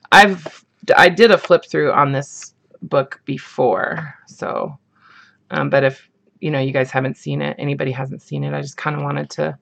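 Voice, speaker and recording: A woman talks in a relaxed, rambling way, full of ums and likes. Her voice is husky from the morning, with a gravelly fry underneath. She sounds like a casual crafter showing her haul. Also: low-pitched. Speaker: craft vlogger. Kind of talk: casual show-and-tell chat.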